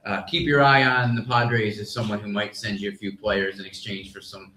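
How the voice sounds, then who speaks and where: A man with a bright, forceful voice speaks as a reporter on a live sports panel. He explains things conversationally, measured and a little hesitant. The room around him is clearly echoey. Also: lowish voice, level tone, slight background noise.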